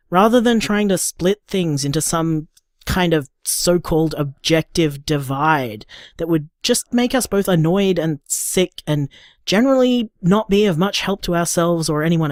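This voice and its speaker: A woman's voice: fairly low pitch, a little mellow, dry recording, studio quality. A woman playing a caring, stubborn, sweary partner in an audio drama, talking in an emphatic, coaxing, informal way. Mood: firm, encouraging. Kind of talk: naturalistic audio drama monologue.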